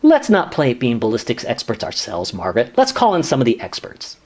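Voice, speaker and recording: A man's voice: mid-range voice, huge pitch swings, a little fast, a little mellow. A man playing an earnest, flustered government commission staffer who protests and rationalizes in a sitcom radio play. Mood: insistent, confident. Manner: exasperated, protesting.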